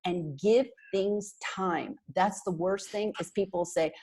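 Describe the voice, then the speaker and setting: Middle-aged Canadian-raised woman guest, husky and low, emphatic, chatty, speaking through a call headset. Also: takes it slowly. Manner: animated, talks with her hands, chatty and emphatic. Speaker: marketing consultant guest. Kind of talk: casual video call interview. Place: small bright room indoors. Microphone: earphone headset on a video call.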